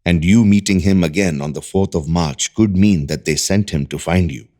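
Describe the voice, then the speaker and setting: A man's voice: deep voice, moderate pace, warm tone, natural voice. A man playing a grave, secretive research doctor in an audio drama. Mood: grave, explaining.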